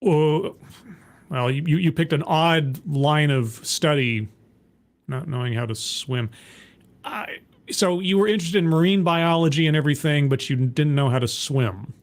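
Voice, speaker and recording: A man's voice: fairly high pitch, a little slow. A man hosting a reaction commentary, talking in a sarcastic, interrupting, exasperated way. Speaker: commentary host reacting to a talk.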